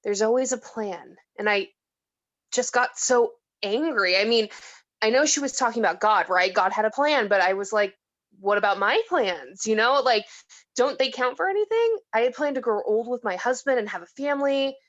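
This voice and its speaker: A woman's voice: a little high, expressive, moderate pace, fairly bright tone, muffled recording. A woman acting a tipsy, disillusioned woman who rambles and giggles in a read-through of a film script. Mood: wistful, bitter. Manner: rambling, slightly slurred, giggly.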